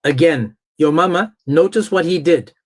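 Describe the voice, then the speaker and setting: Guy hosting an online religion debate, kinda high voice, pushy and emphatic. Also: moderate pace, breathy edge. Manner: insistent, confrontational, repeats pointed questions. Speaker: debate host.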